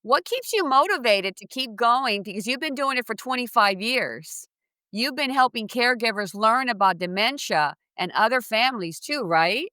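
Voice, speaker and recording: A woman's voice: a little high, animated, moderate pace, pushed and bright, clean studio sound. A woman interviewing in a conversational way, echoing and affirming what her guest says. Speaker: podcast interviewer.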